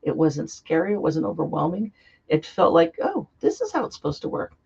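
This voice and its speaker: Woman guest, slightly hoarse from a cold, earnest and heartfelt delivery; gravelly voice, muffled lo-fi recording. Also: on the low side, wildly swooping pitch, brisk, mellow. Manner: earnest, heartfelt, flowing explanations. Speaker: guest psychic. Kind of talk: interview conversation. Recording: dull lo-fi sound.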